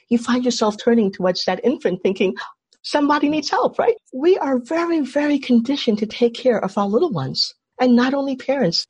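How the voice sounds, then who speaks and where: A woman's voice: on the high side, a little mellow, slightly rough. A woman from Trinidad leading an online workshop, putting questions to the group and explaining in an engaging way over a video call. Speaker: workshop facilitator.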